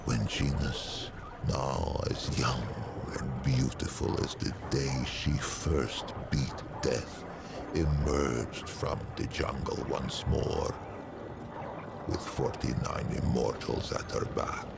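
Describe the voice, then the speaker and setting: A man playing an ancient, learned non-human storyteller in a video game, narrating old lore in a stately, solemn, wry manner with archaic phrasing and deliberate pauses. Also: fairly even intonation, heavy background noise, exaggerated voice. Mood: solemn storytelling. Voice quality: very deep, gravelly. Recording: music behind the voice. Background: prominent background music.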